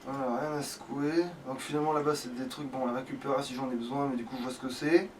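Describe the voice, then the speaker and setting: Man, casual let's play chatter, foul-mouthed grumbling, distant mic, clearly noisy recording. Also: mid-range voice, fairly even intonation, quick pace. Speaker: let's player playing the game. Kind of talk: casual gaming commentary with a friend. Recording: distant mic, loud background noise.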